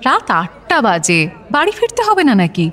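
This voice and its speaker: An actress playing a caring young wife in a radio romance, chiding gently in a soothing, conversational manner. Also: female voice, high voice, huge pitch swings, normal speed, full character voice. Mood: teasing. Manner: teasing yet soothing, conversational. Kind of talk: Bengali radio romance acting. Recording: music bed under the voice.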